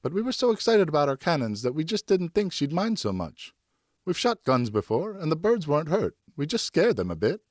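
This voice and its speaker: A man's voice: a little high, sing-song, fairly quick, a little mellow, no echo, studio quality, pitched above the performer's own voice. A man voicing a defiant, argumentative young boy in an audiobook story. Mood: pleading, eager. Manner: argumentative, protesting. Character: indignant, defiant young boy, son of the narrator.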